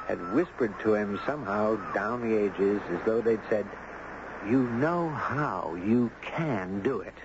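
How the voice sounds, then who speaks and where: An actor playing an earnest, inquisitive man in a 1970s radio drama. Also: lowish voice. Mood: reflective. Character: earnest, inquisitive man, entranced by a strange girl. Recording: muffled, noisy 1970s radio recording.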